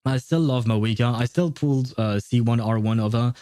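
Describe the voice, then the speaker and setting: A man's voice: medium pitch, moderate pace, warm tone, no room sound, clean studio sound. A man chatting casually as a game livestream host, rambling and opinionated. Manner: rambling, opinionated, often chuckling. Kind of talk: casual gaming livestream chat.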